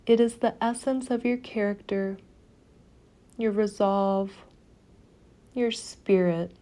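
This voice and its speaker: Female meditation guide: soothing, mellow tone, very slow measured delivery with long pauses. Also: medium pitch, fairly even intonation.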